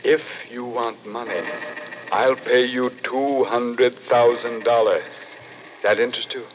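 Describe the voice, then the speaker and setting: An actor playing the living severed head of a financier, weary and begging to die, in slow, pleading 1940s radio drama style. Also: male voice, mid-range voice, steady tone, natural voice. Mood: bargaining. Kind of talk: 1940s radio suspense acting. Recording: dull old radio recording, faint noise.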